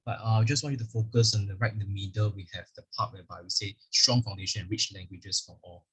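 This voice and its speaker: Husky Singaporean man explaining curriculum. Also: on the low side, fairly even intonation, fast talker, fairly bright tone, low-quality recording. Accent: Singaporean English. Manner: explanatory, leans on "actually" and fillers. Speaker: school curriculum presenter. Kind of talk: virtual curriculum presentation with slides. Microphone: video call microphone.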